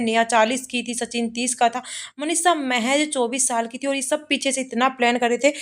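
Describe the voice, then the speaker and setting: A woman speaks in a fairly high-pitched voice, delivering casual commentary like a reaction channel host. Her talk rambles and circles back on itself. English words are dropped in along the way. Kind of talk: casual gossip commentary on a social media family dispute.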